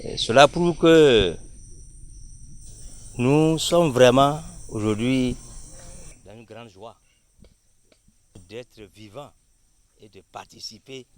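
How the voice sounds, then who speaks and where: Very slow-speaking man at a ceremony. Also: mid-range voice. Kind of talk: public ceremony speech.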